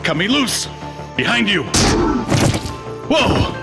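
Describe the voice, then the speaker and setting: A man's voice: high-pitched, sing-song, slow pace, vocal fry, loud music behind the voice, full character voice. An actor playing a cocky, wry space thief, narrating his misadventure in a dramatic comic-book promo. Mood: panicked pleading.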